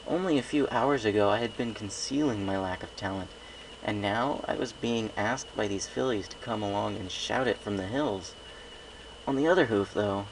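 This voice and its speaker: Low, creaky man narrating a story. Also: background noise. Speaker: amateur story reader. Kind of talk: first-person scripted story narration.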